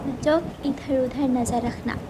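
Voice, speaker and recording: A woman's voice: high-pitched, steady tone, unhurried, very noisy. A woman voicing a protective, worried mother bird, earnest and motherly, in a children's cartoon. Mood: worried, tender.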